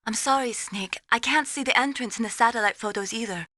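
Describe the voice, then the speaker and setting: A woman voicing an earnest, caring young mission support analyst in a video game, encouraging and gently solicitous. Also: fairly high pitch, brisk, no echo, studio quality.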